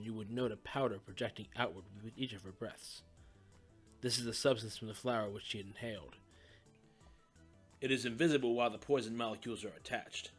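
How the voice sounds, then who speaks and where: A man's voice: fairly low pitch, husky. A man voicing a knowledgeable hiker in an audio story, explaining things in a precise, matter-of-fact way. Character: steely-eyed, knowledgeable, sympathetic man on a nature hike. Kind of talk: audiobook character acting.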